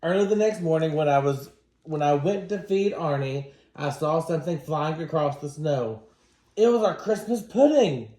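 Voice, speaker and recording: A man with a somewhat high voice reads a children's storybook aloud. He sounds like a teacher, phrasing it dramatically for young listeners. The recording is noticeably roomy and echoing. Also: fairly even intonation, moderate pace, darkish tone. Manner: dramatic, acts out dialogue. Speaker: teacher reading to students.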